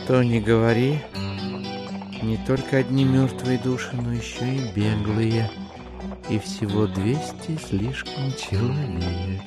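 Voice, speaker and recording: An actor playing a self-satisfied, condescending gentleman master in an audio drama, savouring his words. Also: medium pitch, slow pace, lightly acted. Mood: pleased. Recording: studio drama with music behind.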